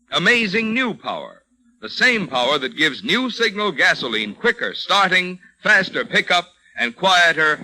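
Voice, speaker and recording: A man's voice: a little high, pushed and bright, low-quality recording. A man working as a radio announcer, reading a scripted 1940s advertisement with emphatic salesmanship and rhetorical questions. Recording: old radio recording, faint noise.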